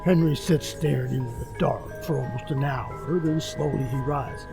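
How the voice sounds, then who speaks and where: A man narrating a radio mystery drama in a measured storytelling manner. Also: medium pitch, gravelly, loud music behind the voice. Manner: measured storytelling in present tense.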